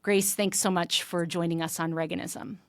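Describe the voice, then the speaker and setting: A woman's voice: fairly low pitch, steady tone, moderate pace, dry recording. Polished woman hosting, dry close sound. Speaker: guest host. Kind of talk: formal podcast interview, introduction.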